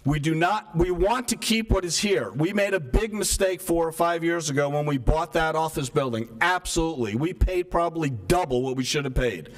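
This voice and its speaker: Soft-spoken man, slightly high voice, resident at a council meeting's public comment, earnest, leans on rhetorical questions. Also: moderate pace, hardly any pauses, poor audio. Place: town hall meeting room. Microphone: microphone stopped working partway.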